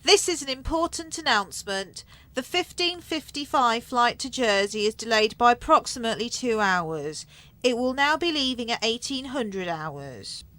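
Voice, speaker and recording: A woman's voice: a little high, expressive, moderate pace, bright, forceful tone, no echo. A woman playing a blunt, scheming airport check-in clerk in a radio comedy. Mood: formal announcing. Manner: blunt, dismissive, sly.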